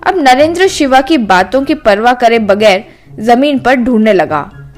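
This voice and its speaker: A woman's voice: a little high, animated, unhurried. Woman, story narrator, scripted children's tale, light background music.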